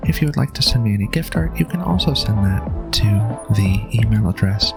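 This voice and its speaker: Low, soft-spoken woman introducing a video. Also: expressive, moderate pace, gravelly, music in the background. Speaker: ASMR creator introducing a video. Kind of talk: soft-spoken channel announcement.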